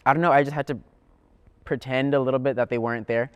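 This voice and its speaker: A man's voice: mid-range voice, fairly even intonation, quick pace, clear, resonant tone, dull audio. A teenage young man, a student actor, giving casual answers in an interview. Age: teens.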